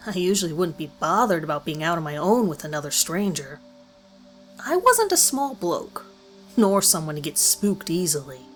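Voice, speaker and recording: A woman reads a scripted first-person horror story in a measured, deliberate way with dramatic pauses. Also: on the low side. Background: background music.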